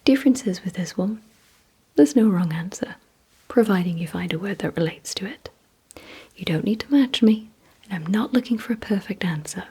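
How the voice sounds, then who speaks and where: A woman whispering breathily in an ASMR roleplay, giving instructions deliberately and repeating words with pauses, close to the microphone. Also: medium pitch, lively intonation, mellow, rough recording. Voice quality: breathy whisper, mostly unvoiced. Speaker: ASMR creator leading a word-guessing game.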